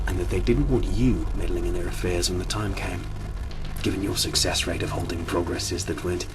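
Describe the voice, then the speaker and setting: A man playing a menacing villain in an audio drama, gloating slowly and deliberately in a taunting message. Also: low-pitched, recorded from a distance, close to an everyday voice, poor audio. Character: menacing male villain taunting foes over video message. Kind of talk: fan audio drama acting.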